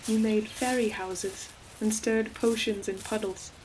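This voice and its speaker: Woman doing an ancient mossy forest demon, really slow and tender, old storybook talk, audio rp with forest noises behind. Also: medium pitch, fairly even intonation, darkish tone, slight background noise, lightly acted, low-quality recording. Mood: nostalgic, tender. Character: ancient gentle forest demon, hulking moss-covered creature. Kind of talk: scripted audio roleplay monologue. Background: forest nature sound effects with animals.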